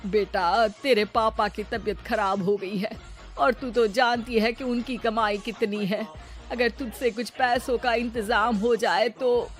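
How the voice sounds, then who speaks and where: A high-pitched, greedy middle-aged mother from a cartoon morality tale, played by a woman. She is sharp-tongued and dramatic, quick to scold and demand. Faint music sits behind her. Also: steady tone, natural voice. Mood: pleading. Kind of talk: Hindi cartoon moral story acting.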